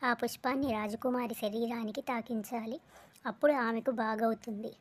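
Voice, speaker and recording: A woman playing a wise, solemn royal guru in a cartoon story, explaining things in a measured way. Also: on the high side, steady tone, lightly acted. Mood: solemn, advising. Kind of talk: acted cartoon story dialogue.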